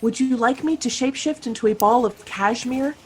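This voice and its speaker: A woman's voice: a little high, brisk, a little mellow, slight background noise, close to an everyday voice. A woman voicing a devoted shapeshifting spaceship companion in a sci-fi satire, languid, silky and coaxing. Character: soothing, devoted female shapeshifting ship companion. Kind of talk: comic sci-fi audio drama acting.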